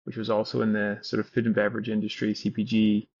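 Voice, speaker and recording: A man's voice: lowish voice, monotone, quick pace, a little mellow, dull audio. A Scottish man in his thirties, a company founder telling his story in a podcast interview with frequent restarts. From Scotland. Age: 30s.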